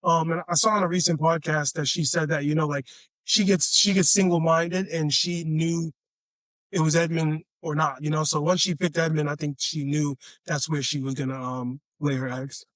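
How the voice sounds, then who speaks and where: A man from Chicago with a raspy voice, a podcast guest telling stories in a laid-back, playful way and often repeating his phrases. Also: on the high side, steady tone, moderate pace, fairly bright tone, no room sound. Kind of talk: casual storytelling chat.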